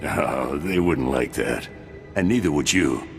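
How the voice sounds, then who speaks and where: Gravelly, extremely deep male thug. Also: measured pace, faint music behind. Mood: grim, menacing. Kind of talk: video game voice acting.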